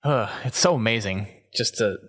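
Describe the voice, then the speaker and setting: A man's voice: fairly low pitch, moderate pace, fairly bright tone, no room sound. Man hosting a podcast, close dry sound. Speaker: podcast host. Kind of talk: casual gaming podcast talk.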